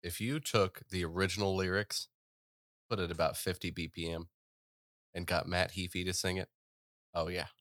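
A man with a low-pitched voice keeps a casual talk going, agreeing easily and moving things along with facts. The voice sounds dry and close, with no room echo. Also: steady tone, moderate pace, long pauses, fairly bright tone. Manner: agreeable, leads the topics, shares facts. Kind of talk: casual chat.

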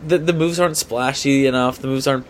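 A man's voice: medium pitch, steady tone, fast talker, a little hiss or hum. A man co-hosting a sports podcast in casual debate, often hedging and repeating his points for emphasis.

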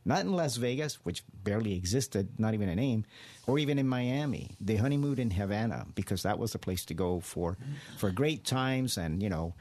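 A man's voice: fairly low pitch, lively intonation, brisk, a little mellow. An older man from Cuba, a radio guest telling his family story in an earnest, digressive way. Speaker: studio guest.

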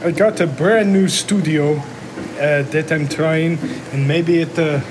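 A man's voice: a little high, steady tone, a little slow. A man hosting his own show, chatting casually in a rambling, repetitive way. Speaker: show host. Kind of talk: casual, unscripted show chat. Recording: loud background noise.

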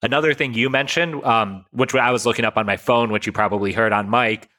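A man pushing forty, from the United States, talks through ideas in a thoughtful, explanatory way. His voice is bright and forward, with a middle pitch. The tone stays conversational, as in a relaxed podcast chat. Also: a little fast. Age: late 30s. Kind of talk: casual chat.

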